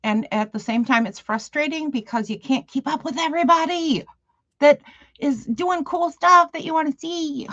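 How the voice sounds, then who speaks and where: A woman chats eagerly on a craft livestream, curious about everyone and quick to laugh. Her voice sits fairly high, and the sound is dry and slightly dull, like lo-fi stream audio. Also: a bit bright, dry recording. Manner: curious, gushing, laughs often. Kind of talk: casual chat.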